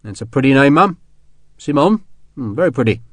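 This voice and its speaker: A rough young army sergeant from a historical storybook, acted by a male narrator. He talks in blunt, colloquial working-class phrases, chatty and reassuring. The sound is dry and close. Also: mid-range voice, animated, a little fast, dry recording, lightly acted. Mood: gentle, awkward.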